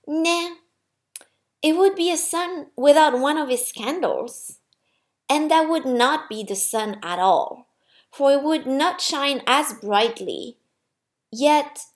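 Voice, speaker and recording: A woman playing a gentle, wise, amused God in a storybook, explaining patiently and reassuringly. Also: on the high side. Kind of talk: storybook character voice.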